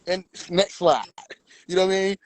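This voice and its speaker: A man hosting a livestream, talking forcefully and preaching directly at his viewers. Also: high-pitched, moderate pace, low-quality recording. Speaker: livestream host. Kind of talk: casual livestream talk.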